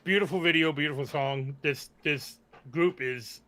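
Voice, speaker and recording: A man's voice: on the high side, moderate pace, fairly bright tone. A man co-hosting a reaction show in casual chat, speaking in emphatic, declarative statements. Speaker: co-host of a music reaction show.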